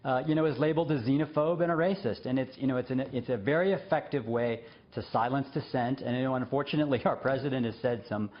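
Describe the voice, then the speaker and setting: Man guest on news interview, mid pitch, earnest, fillers, slightly dull audio. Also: fast talker, few pauses. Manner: earnest, with many fillers and short laughs. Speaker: guest, journalist and former diplomat. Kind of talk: remote interview.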